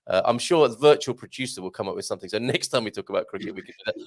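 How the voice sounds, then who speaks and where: A man's voice: on the low side, lively intonation, racing, crowd noise. A man hosting a live chat show, joking around, laughing often and reading out viewer comments. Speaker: host.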